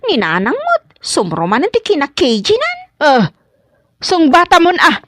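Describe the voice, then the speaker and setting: An actress with a Filipino accent playing an excitable, nosy, fussy mother in a comedy audio drama, exclaiming in an animated, drawn-out way. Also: high-pitched, dry recording, studio quality. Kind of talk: Ilocano comedy radio drama acting.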